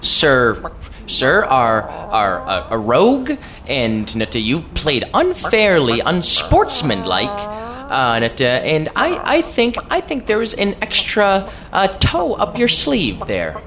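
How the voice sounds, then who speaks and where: A woman playing a flustered, halting chess player who stammers and backtracks, in live improvised comedy theater. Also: sits low, huge pitch swings, fairly bright tone, exaggerated voice. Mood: indignant, halting. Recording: live comedy stage with audience noise.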